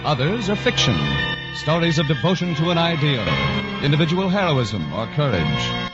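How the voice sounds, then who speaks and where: A man's voice: a little high, very animated, normal speed, muffled recording. A man announcing a drama program in 1940s radio style, on an old broadcast recording. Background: loud music.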